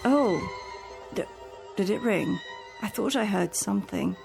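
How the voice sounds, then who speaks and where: A woman's voice: mid-range voice, lively intonation, measured pace, creaky, over music. An actress playing a practical, patient female caregiver in a suspense radio drama, reasoning and coaxing in a firm way. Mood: concerned. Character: practical, patient live-in caregiver soothing her employer.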